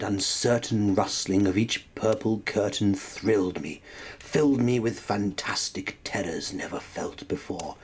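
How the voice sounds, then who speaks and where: Male reader for gothic poetry: lowish voice, measured and slow, theatrical, sinking into hushed tones, with audible background noise. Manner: theatrical, often dropping to a hushed near-whisper. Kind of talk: dramatic recitation of a gothic poem.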